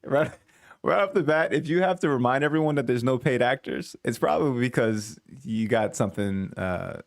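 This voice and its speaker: A man's voice: mid-range voice, normal speed, vocal fry, no echo, studio quality. Rambling male reaction commentator. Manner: rambling, self-correcting, chuckling asides. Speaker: reaction video host. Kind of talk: casual commentary.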